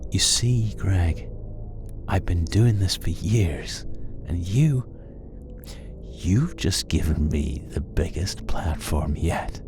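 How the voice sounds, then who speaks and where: A man voicing a coldly intense, menacing male killer in a horror audio story, speaking deliberately with ominous pauses. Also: deep voice, animated, exaggerated voice. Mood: cold menace. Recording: loud music under the voice. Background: music.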